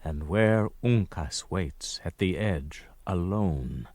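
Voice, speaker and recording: An actor playing a grave storyteller, giving measured, solemn narration for a frontier radio drama. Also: male voice, low voice, expressive, darkish tone, no echo, exaggerated voice. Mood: sombre.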